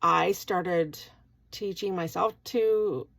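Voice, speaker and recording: Chatty gravelly woman in her forties. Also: fairly low pitch, takes it slowly, a bit bright. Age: 40s. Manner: chatty, rambling, many ums and restarts. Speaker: vlogger, part-time university student. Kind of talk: casual vlog talk.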